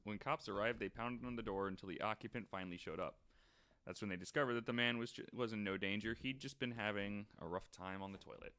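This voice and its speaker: A man's voice: lowish voice, fairly even intonation, fast, bright, forceful tone. A man co-hosting a podcast, reading out short funny items and chatting about them in a casual, wry, sweary way. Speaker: podcast co-host.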